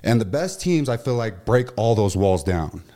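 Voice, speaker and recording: A young man in his twenties who talks loudly and with emotion, a former football player chatting as a studio guest. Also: on the low side, moderate pace. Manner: loud, emotional, animated delivery. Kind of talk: casual radio chat.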